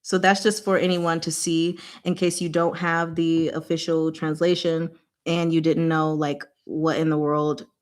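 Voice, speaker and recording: A woman's voice: sits low, flat delivery, a little slow. A woman hosting a casual book discussion, explaining things informally with playful, rambling asides.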